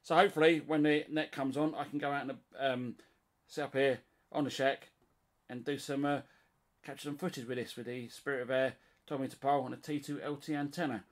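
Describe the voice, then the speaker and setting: A man with a British accent presents his hobby equipment in an informal, hands-on way. His voice sits at an average pitch. He rambles along colloquially, speaking from behind the camera in a small room at home. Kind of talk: casual hands-on show-and-tell. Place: small indoor room at home, radio shack.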